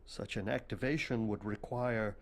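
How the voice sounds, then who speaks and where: An actor playing a morbid, bookish, eccentric occult professor in a video game, speaking formally and pedantically with a deadpan air. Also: male voice, on the low side, moderate pace, close to an everyday voice. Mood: morbid, matter-of-fact. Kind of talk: video game cutscene acting.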